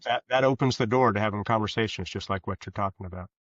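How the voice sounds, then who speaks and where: Male podcast guest, deeper register, earnest and halting, reflective delivery, dull roomy recording. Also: a bit bright. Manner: halting, earnest, rephrases as he goes. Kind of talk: reflective interview conversation. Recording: muffled, roomy, echoey sound.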